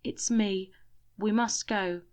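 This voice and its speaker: A woman's voice: mid-range voice, steady tone, slow, close to an everyday voice. A woman voicing a tender, pleading male ghost in a spoken story. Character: gentle ghost of a devoted husband.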